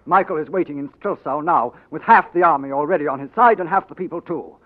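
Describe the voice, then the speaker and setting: An actor playing a loyal courtier who speaks in a brisk, matter-of-fact way, in 1940s radio acting. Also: male voice, fairly high pitch, close to an everyday voice. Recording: tinny old radio broadcast.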